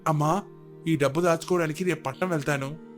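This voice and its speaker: A woman voicing an earnest young farmer son in a storybook tale, pitched lower than her own voice. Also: steady tone, brisk, soft background music, close to an everyday voice.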